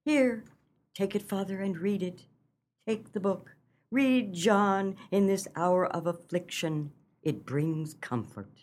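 A woman's voice: mid-range voice, slow, a little mellow, lightly acted. Woman playing a pleading, pious farm wife. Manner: earnest, melodramatic pleading. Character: long-suffering pious old farm wife, pleading, resigned. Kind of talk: comic radio melodrama acting.

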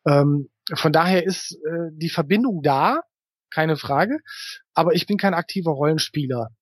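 A man with a fairly high voice answers interview questions casually. He explains thoughtfully, hesitating as he builds his sentences. The sound is close and dry. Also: moderate pace, slightly rough, no room sound. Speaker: interviewed fantasy author.

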